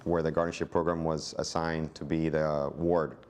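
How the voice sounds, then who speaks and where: A man's voice: rumbling low, little pitch movement, moderate pace, a little mellow. A man speaking as an interviewee in a news story, a family caregiver giving his account.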